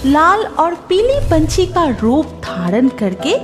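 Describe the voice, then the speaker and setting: A woman's voice: sits high, sing-song, slow, music in the background. A woman narrating a children's cartoon story from a script.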